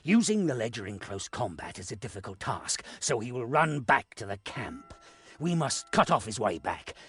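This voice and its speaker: A man playing a shrewd, commanding war leader in a fantasy game, measured and authoritative. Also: mid-range voice, sing-song, fairly bright tone, slightly rough, dry recording, exaggerated voice. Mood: resolute scheming.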